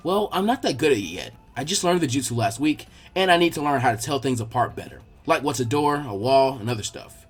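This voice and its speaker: A man's voice: mid-range voice, expressive, fairly quick, hardly any pauses, husky, faint music behind, pitched below the performer's own voice. Man playing an earnest young ninja boy. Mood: sheepish. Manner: informal, candid, boyish. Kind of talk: fan fiction character dialogue.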